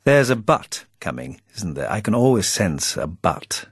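Guy doing a sardonic older spy, debonair but testy, husky, animated pitch, audiobook. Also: a little slow, dry recording, exaggerated voice. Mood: weary, suspicious. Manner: dry, arch, probing. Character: weary, debonair older male secret agent, testy, sardonic.

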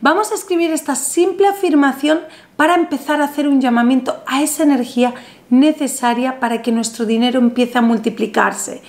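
A woman in her thirties hosting a spiritual video, calmly guiding the viewer through a money ritual, seated at a table in a small bright room. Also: high-pitched, fairly quick. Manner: soothing, instructive, addresses the viewer directly. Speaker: spiritual channel host. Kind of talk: guided money ritual tutorial. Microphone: camera in front, seated at a table.

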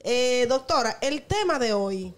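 A woman hosting a radio talk show from a studio desk mic, playful and quick to exclaim, with other people's chatter behind her. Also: on the high side, lively intonation, a little hiss or hum. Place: radio studio with several guests.

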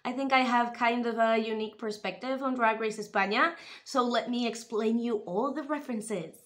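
A woman's voice: a little high, moderate pace. A young woman from Spain, raised in the US, chatting animatedly to camera from a room at home. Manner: animated, expressive, gestures while talking. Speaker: vlogger reviewing a TV show. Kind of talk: casual chatty commentary to camera. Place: small room at home, indoors. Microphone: webcam or camera close in front.

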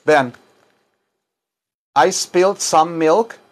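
A middle-aged man gives an informal bilingual language lesson. His voice sits slightly high for a man. He speaks at a very slow pace, repeating phrases and spacing out the words as if dictating. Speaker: English teacher and self-styled chaplain. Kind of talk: bilingual English lesson in Spanish and English. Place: small wood-paneled room indoors.

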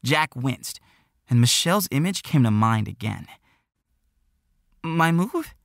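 Male audiobook narrator, animated range, touch of fry, dry close sound. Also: mid-range voice, moderate pace, no echo. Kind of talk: expressive scripted story narration.

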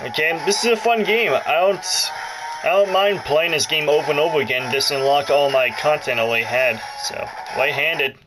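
A man's voice: on the high side, moderate pace, poor audio. A man giving casual, rambling let's play commentary, jokey and often interrupting himself. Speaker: gaming video host. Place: indoors, about a foot from the handheld console. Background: game music from the console speaker.

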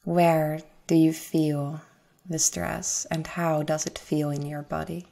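A woman guides a meditation in a low, soft-toned voice. Her delivery is very slow and even, with little rise or fall. She sounds soothing and gentle, leaving space after each phrase. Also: vocal fry. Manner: soothing, gentle, unhurried with long pauses. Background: forest nature sounds with birds.

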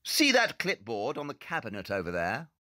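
A man's voice: medium pitch, huge pitch swings, normal speed, bright, forceful tone, dry recording. An actor playing a brilliant, self-assured male detective in an audio drama, explaining things in a clipped, superior, matter-of-fact way. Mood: brisk, confident.

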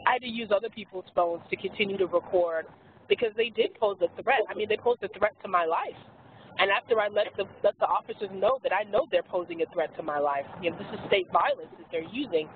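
A woman speaking as an activist on a webinar panel, hoarse from losing her voice, emphatic and earnest with frequent restarts. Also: medium pitch, fast. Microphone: telephone handset. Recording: tinny phone line with faint hiss.

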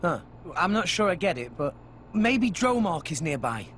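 High-voiced plucky boy hero. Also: sing-song, moderate pace, a bit bright, slight background noise. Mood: curious, urgent. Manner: earnest, youthful, spirited. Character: earnest, plucky, loyal teenage boy hero. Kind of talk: video game cutscene acting.

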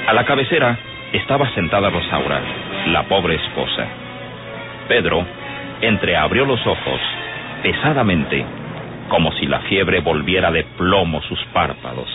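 Grave, dramatic narration as a Mexican storyteller in an old radio serial. His voice is low. Music plays clearly behind him on a narrow, tinny recording. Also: lively intonation, a little slow, long pauses. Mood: grave, solemn.

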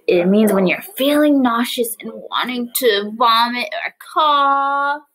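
A young woman preschool teacher reading a picture book aloud to small children and explaining it, with animated, exaggerated expression. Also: on the high side, measured pace, poor audio. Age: young adult. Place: small living room, indoors, on a couch.

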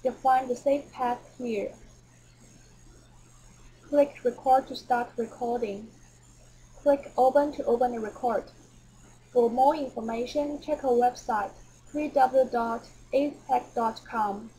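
A woman with a fairly high voice and a very mellow tone narrates a software tutorial from a script. There is some noise in the recording. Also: fairly even intonation, slow pace, long pauses, low-quality recording.

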